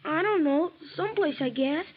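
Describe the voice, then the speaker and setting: A woman's voice: high voice, animated. A small, shy radio-play boy. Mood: wary, delighted. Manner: halting, childlike. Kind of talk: 1940s radio acting. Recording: dull old radio broadcast.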